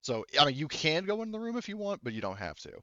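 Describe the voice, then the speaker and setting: A man running a tabletop roleplaying game as game master, narrating off the cuff in a halting, rambling, self-correcting way. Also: on the high side, big pitch swings, pushed and bright, no echo, highs cut off. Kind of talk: improvised game narration, casual chat.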